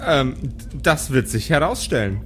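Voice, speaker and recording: A man's voice: medium pitch, animated, normal speed, a bit bright, faint music behind, close to an everyday voice. A man playing a spaceship's computer, formal and hesitant with a teasing streak. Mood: concerned, formal. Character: spaceship computer, polite but snarky and teasing.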